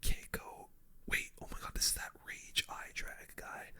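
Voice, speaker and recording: The voice is a breathy, unvoiced whisper with a very bright, airy edge. It belongs to a game streamer doing ASMR commentary, talking through each move in casual gamer slang. The delivery stays whispered throughout. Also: unhurried.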